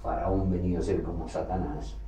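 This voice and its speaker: A man preaching a sermon, emphatic, with loud bursts that drop low again. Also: level tone, a little fast, a little hiss or hum. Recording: echoey hall, from far off, faint ambience.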